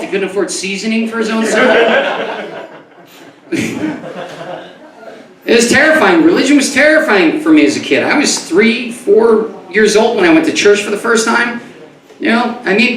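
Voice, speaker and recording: A man from New York doing a stand-up comedy set, rambling and stumbling over his words, then breaking into loud comic outbursts. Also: sits high, animated, normal speed. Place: comedy club stage before a live audience. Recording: echoey club recorded from a distance, crowd audible.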